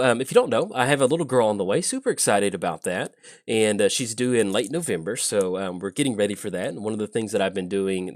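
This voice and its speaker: A man with a low, slightly raspy voice from a chest cold narrates his card game in a relaxed, rambling way. He thinks aloud, trails off and restarts, and keeps it chatty. The sound is close and dry, with no room behind him. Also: no room sound. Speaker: YouTube gameplay commentator. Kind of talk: casual gameplay commentary.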